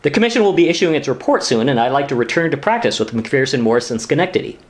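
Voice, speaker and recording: Quick, animated young male lawyer played by an actor. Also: medium pitch, close to an everyday voice. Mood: earnest. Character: earnest, deferential, exasperated junior male lawyer. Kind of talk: sitcom-style radio play dialogue.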